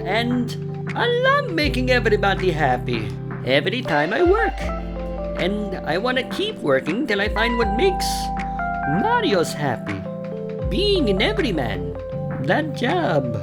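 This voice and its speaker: A woman's voice: mid-range voice, wildly swooping pitch, unhurried, over loud music, pitched above the performer's own voice. A woman voicing an upbeat, eager-to-please young handyman who rambles and gets a little flustered, in a cartoon-style audio play. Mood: cheerful, self-deprecating.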